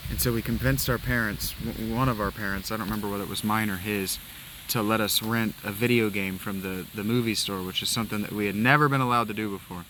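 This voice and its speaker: A man's voice: on the low side, fairly even intonation, fast talker, background noise. A man from Ohio giving casual, rambling commentary while playing a video game, reminiscing and swearing casually. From Ohio, USA. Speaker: let's play gamer.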